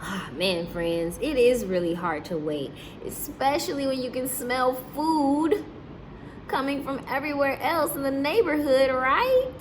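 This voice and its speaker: Slow, expressive woman reading to children. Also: high voice, a little hiss or hum, low-quality recording. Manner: addresses young listeners as friends, asks questions and explains. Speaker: teacher reading stories to children. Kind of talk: children's read-aloud with asides to the listeners.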